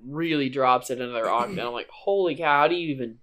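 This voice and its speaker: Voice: mid-range voice, quick pace, fairly bright tone. A podcast co-host in casual chat, laughing often and gushing with enthusiasm.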